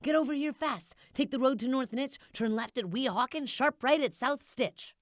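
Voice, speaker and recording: A woman's voice: sits high, normal speed, dry recording, clean studio sound, voice pushed up, close to an everyday voice. A woman voicing a greedy, boastful old male recluse from a storybook, with a brash, dismissive salesman's manner. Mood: excited, greedy. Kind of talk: storybook character voice.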